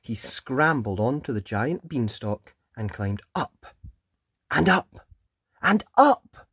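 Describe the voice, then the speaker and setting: A children's storyteller reads a storybook with dramatic, emphatic pauses. The pitch swoops widely, and the recording is close and dry. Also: sing-song, a bit bright, husky, dry recording. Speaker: children's story reader, channel host. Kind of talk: animated storybook narration.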